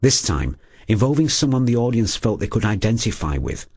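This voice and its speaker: Male documentary narrator, husky, expressive scripted storytelling, lowish register. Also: a little fast.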